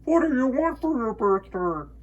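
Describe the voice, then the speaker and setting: A man voicing a storybook moon as a slow, distant echo, mimicking in drawn-out phrases. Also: very high-pitched, very animated, dull audio, pitched above the performer's own voice, exaggerated voice.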